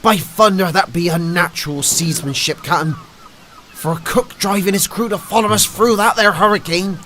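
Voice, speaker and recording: High-pitched, slobbery pirate first mate. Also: male voice, normal speed, pushed and bright, a little hiss or hum. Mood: wary, dutiful. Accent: stage pirate. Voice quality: slurred, slobbery speech. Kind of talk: pirate radio play acting.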